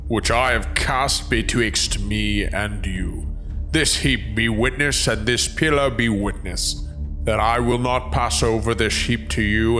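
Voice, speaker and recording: A man's voice: lowish voice, pushed and bright, exaggerated voice. A man playing a stern, aggrieved old biblical father in a dramatized scripture reading, solemn and declamatory.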